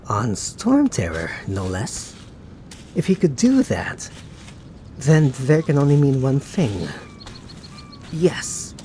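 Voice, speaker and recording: A man playing a suave, teasing, laid-back young knight in an ASMR roleplay, talking to the listener in an unhurried, musing way. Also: mid-range voice, a little mellow, slight background noise.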